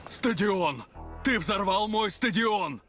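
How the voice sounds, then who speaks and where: A man's voice: high voice, animated, takes it slowly. A man voicing a stern, easily exasperated alien combat instructor in a cartoon dub, commanding, dramatic and sardonic. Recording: loud music behind.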